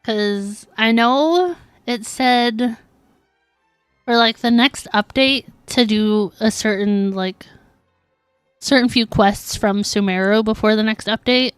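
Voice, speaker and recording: A woman's voice: on the high side, slow pace, clean tone, no echo, soft background music. A woman livestreaming a game, chatting casually and informally with her viewers. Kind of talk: casual chat while streaming gameplay.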